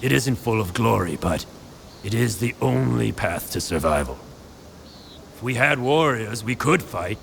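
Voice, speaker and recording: An actor playing a cautious, stubborn townsman in an audio drama, speaking with emphatic oratory and a gravelly voice. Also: on the low side, expressive, unhurried, fairly bright tone, slight background noise, exaggerated voice. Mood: grave, urging. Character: cautious, stubborn townsman urging appeasement and survival.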